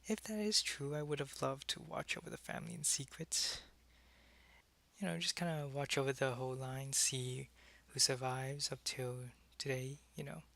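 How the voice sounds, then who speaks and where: Man playing a creaky-voiced, old-fashioned vampire. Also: medium pitch, steady tone. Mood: reflective, grim. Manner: unhurried, casual, soft-spoken, often dropping into whispers. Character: old-fashioned, wistful, friendly male vampire, centuries old. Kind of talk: intimate ASMR roleplay monologue.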